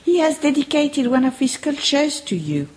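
A woman's voice: high-pitched, big pitch swings, normal speed, darkish tone, slight background noise. A woman playing a polite, earnest French fortune teller in an amateur radio play, formal in manner and with a French accent. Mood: courteous.

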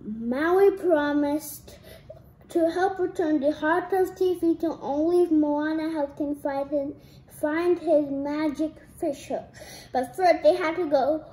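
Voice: high voice, slow, mellow. A young-sounding reader reading a storybook aloud carefully, restarting phrases after stumbles, in an echoey room.

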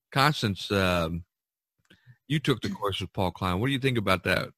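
A low-pitched man hosts a podcast over a video call. He rambles and pauses often, filling the gaps with "uh" and "um". The sound is dry and close. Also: animated, husky, no echo. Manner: rambling, halting, many fillers. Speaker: podcast host. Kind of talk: casual video-call chat.

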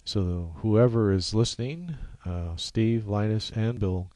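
A man giving a casual, unscripted software walkthrough in halting steps, speaking into a USB microphone. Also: lowish voice. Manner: halting, unscripted step-by-step explaining. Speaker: software tutorial presenter. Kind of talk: casual screen-capture tutorial narration.

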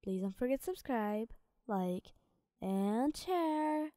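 A woman with an Arabic-tinged English accent narrating a fan story, often in a soft whisper. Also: a little high, expressive, slow, dry recording, clean studio sound. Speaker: ASMR storyteller.

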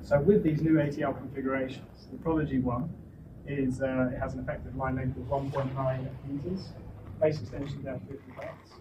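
Male launch presenter, mid-range voice, distant from the microphone with very loud ambient noise around him. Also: mid-range voice, level tone, a little fast, dull audio. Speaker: company presenter. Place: indoor trade show demo room. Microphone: camera some distance away. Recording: distant mic, very noisy event hall. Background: loud room ambience noise.

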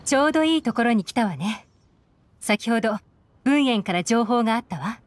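A woman's voice: fairly high pitch, lively intonation, moderate pace, no echo, lightly acted. A woman playing a composed, shrewd young intelligence chief who speaks with authority in an anime game. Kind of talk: Japanese anime game voice acting. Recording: game music behind.

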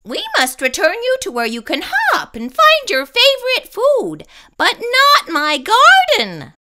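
A woman voicing an excitable, kindly elderly lady in a storybook, exclaiming in a squealing manner. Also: very high voice, a little slow, pitched above the performer's own voice, full character voice. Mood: flustered scolding. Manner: squealing, exclamatory, scolding or cooing.

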